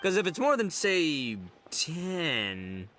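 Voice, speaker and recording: A boisterous, gullible young video game hero with a high voice that swoops all over the place. He is brash and bumbling, tripping over his words. His delivery is sharp and forceful, with music behind it. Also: huge pitch swings, takes it slowly, heavily acted. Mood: blustering, tempted. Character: boisterous, gullible, big-hearted young man playing a lawman. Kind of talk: exaggerated video game voice acting.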